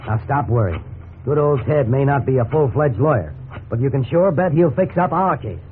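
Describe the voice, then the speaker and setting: A man's voice: mid-range voice, lively intonation, moderate pace, narrow, tinny audio, natural voice. Man playing folksy middle-aged dad in a fifties radio play, reasoning things out, voice goes up and down a lot, thin noisy recording. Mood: hopeful. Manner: conversational, reasoning aloud, folksy. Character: worried but easygoing middle-aged family man. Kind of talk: 1950s radio drama acting.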